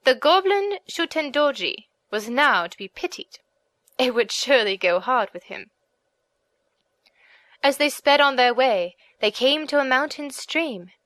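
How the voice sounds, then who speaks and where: A woman reading scripted audiobook narration in an expressive, formal storytelling manner. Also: sits high, measured pace, no room sound.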